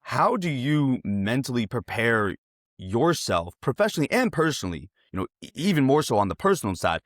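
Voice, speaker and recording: A man interviewing on a sports show in a casual, chatty style. His mid-pitched voice moves up and down in a lively way, and the sound is dry with no room to it. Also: moderate pace, no room sound.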